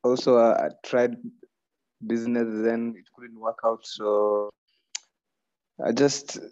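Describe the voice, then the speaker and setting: A man from Kenya, a podcast guest speaking casually and haltingly. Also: level tone, a little mellow. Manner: casual, halting and loosely phrased. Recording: dull low-fi call audio.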